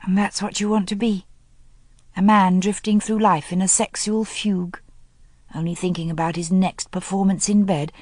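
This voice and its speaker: A woman's voice: mid-range voice, fairly even intonation, normal speed, darkish tone, no room sound, studio quality, lightly acted. A woman reading a spirited, pressing noblewoman. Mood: exasperated. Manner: earnest and pressing, rising in exasperation. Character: aristocratic woman, worried friend, spirited. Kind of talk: audiobook character reading.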